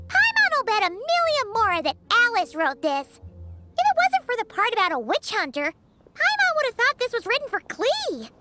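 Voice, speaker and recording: Squeaky, tiny, childlike fairy companion. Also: female voice, wildly swooping pitch, normal speed, loud music behind the voice, heavily acted. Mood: amused, chatty. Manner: speaks of self in third person. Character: Paimon, tiny floating fairy companion, childlike, excitable, bossy. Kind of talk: video game cutscene voice acting.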